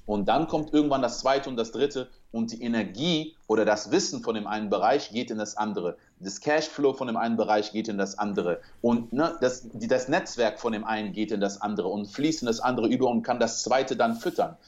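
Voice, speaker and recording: A man's voice: medium pitch, fairly quick, few pauses, darkish tone, lo-fi sound. A man whose first language is French, talking as a speaker and coach in a casual interview, earnest and motivational, building long metaphors.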